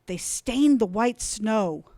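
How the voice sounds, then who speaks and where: A woman reading a children's storybook aloud in an expressive, deliberate, dramatic way. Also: medium pitch, no room sound. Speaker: storybook reader.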